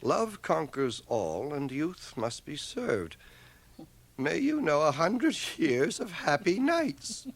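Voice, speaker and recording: A man's voice: fairly high pitch, very animated, slow pace, no room sound, heavily acted. An actor playing a reserved, dry-witted man of fifty in a radio drama, terse and guarded, heard on a hissy cassette transfer. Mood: amused.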